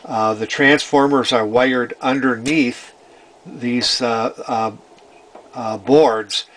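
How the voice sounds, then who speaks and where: A man giving an informal, hands-on technical explanation as a repair hobbyist, methodical but halting, often repeating phrases. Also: medium pitch, steady tone, a bit bright. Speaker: vintage radio repair hobbyist presenting.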